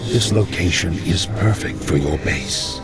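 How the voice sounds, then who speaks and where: A cautious necromancer counselling his master, his voice low and unhurried. He speaks gravely over loud game music. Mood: wary. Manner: measured, warning, grave. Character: cautious male necromancer, older adviser. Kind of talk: video game cutscene acting.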